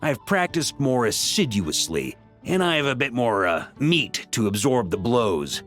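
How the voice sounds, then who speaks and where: Jovial veteran knight commander, animated delivery. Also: male voice, mid-range voice, unhurried. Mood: jovial, boastful. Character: jovial, hard-drinking veteran knight commander, older man. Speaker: video game character voice actor. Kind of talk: conversational video game dialogue. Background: game music.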